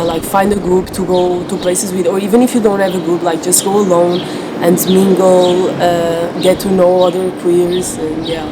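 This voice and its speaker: A young woman speaking candidly as an interviewee, relaxed and soft, filling her talk with "like". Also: on the low side. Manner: relaxed, many "like" fillers, soft-spoken. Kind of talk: candid personal testimony. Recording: loud background noise, air conditioning hum.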